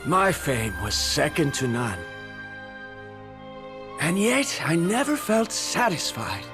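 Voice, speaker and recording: An actor playing a proud legendary adventurer and guide in an anime-style video game, telling a tale with theatrical self-assurance. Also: male voice, a little high, wildly swooping pitch, takes it slowly, music in the background, exaggerated voice. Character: proud, adventurous legendary male guide recounting his past.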